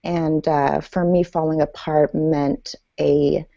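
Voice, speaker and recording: Low gravelly woman, dull lo-fi sound. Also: level tone, dark tone, no room sound. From Iran. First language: Farsi. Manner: reflective, measured, many ums. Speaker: guest author and speaker. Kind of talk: podcast interview, personal story.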